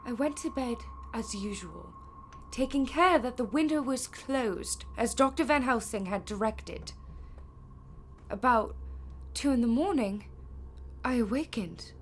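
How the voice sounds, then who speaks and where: An actress playing a frail young woman under a spell in a radio horror drama, speaking in a halting, dreamy way. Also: fairly high pitch, lively intonation, a little hiss or hum. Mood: uneasy, dreamy dread. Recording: loud music behind the voice.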